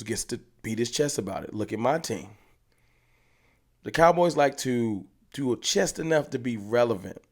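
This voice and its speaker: A man hosting a fan channel, ranting passionately to camera in casual speech and hammering his points home with repetition. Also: medium pitch. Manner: passionate, emphatic, repeats points. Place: room with hats on the wall behind him.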